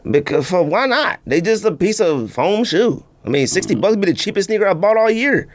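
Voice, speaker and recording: Animated, slangy man chatting on a podcast. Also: high voice, a little fast. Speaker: sneaker podcast host. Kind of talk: casual unscripted podcast chat.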